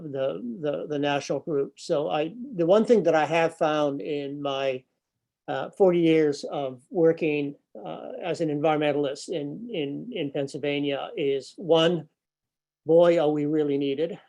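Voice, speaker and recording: Halting older man on a video call. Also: fairly high pitch, creaky, warm tone. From grew up overseas. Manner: hesitant, many fillers and repeated words. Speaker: environmental nonprofit director and speaker. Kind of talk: informal talk to a business audience. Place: office room with a window. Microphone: laptop webcam, video call.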